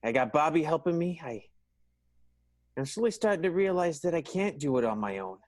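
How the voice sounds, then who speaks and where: A man's voice: fairly high pitch, sing-song, a little mellow, no room sound, clean studio sound. An actor playing a man who hesitantly admits he needs help, in a radio play. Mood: rueful. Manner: halting, hesitant restarts.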